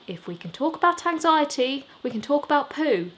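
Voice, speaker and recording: A woman's voice: sits high, sing-song, brisk, voice pushed up. Brash young boyband lad, voiced by a woman. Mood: cheeky, excited. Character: brash, excitable young male boyband member.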